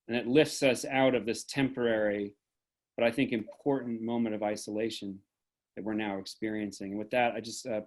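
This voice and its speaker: A man speaking as host of an online event, giving earnest, deliberate closing remarks on a video call. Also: medium pitch. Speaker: host and moderator.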